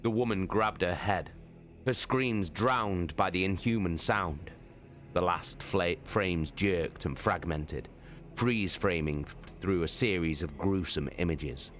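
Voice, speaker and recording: A man giving an expressive, dramatic reading of a science fiction novel as a live streamer. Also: low-pitched, slow, slight background noise. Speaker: streamer and reader. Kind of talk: dramatic audiobook narration.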